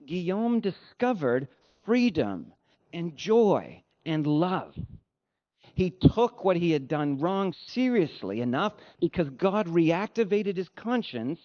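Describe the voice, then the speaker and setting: High-pitched, animated man preaching. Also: dull audio. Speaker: pastor preaching. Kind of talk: sermon with read-out quotations.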